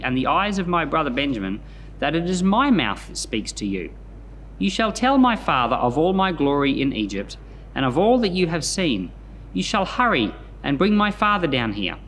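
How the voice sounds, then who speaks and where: A man reading scripture and commenting on it in an expressive storytelling manner, as a pastor hosting a Bible podcast. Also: mid-range voice, slight background noise.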